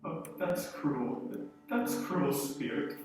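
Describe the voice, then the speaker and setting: An actor in a live radio play playing a frightened, repentant old miser who pleads in a halting stammer. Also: male voice, a little high, big pitch swings, music in the background. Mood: pleading. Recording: distant, echoey stage with slight noise.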